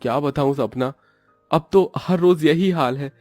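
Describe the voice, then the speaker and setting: A man's voice: a little high, measured pace, darkish tone, dry recording, soft background music, voice pushed up, lightly acted. A man voicing a worried, downhearted roadside eatery owner in a cartoon, with a plaintive, exclamatory delivery. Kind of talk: Hindi moral-story cartoon dialogue.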